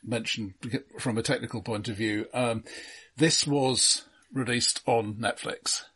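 A man's voice: mid-range voice, fairly even intonation, unhurried, fairly bright tone, breathy edge. A man in a casual film discussion, talking in a dry, wry, analytical way. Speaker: film podcast co-host.

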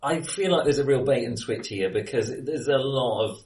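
A man's voice: mid-range voice, normal speed, a little mellow. Man chatting about film in echoey room. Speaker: film discussion podcast co-host. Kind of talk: casual film discussion. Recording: roomy echo, distant from mic.

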